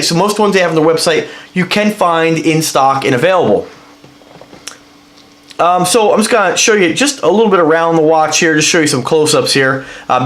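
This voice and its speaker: A high-voiced man sounds stuffed up from a cold as he chats through a casual review, rambling and eager while he explains what he's holding. His voice bounces around a noticeably echoey room. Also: a bit bright. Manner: rambling, enthusiastic, explains as he handles the item. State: congested with a cold. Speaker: watch reviewer.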